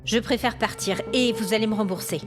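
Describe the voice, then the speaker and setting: A woman's voice: medium pitch, brisk, over music, close to an everyday voice. A woman playing an exasperated, haughty hotel guest with complaints, in an acted audio fiction monologue. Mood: wary, exasperated. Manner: haughty, aggrieved, demanding. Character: indignant, exasperated female hotel guest complaining about ghosts.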